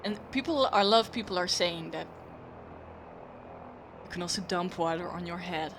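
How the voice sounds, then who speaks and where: A woman's voice: fairly low pitch, moderate pace, background noise. A woman chatting casually on a livestream as a student in flight simulation. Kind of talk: casual chat.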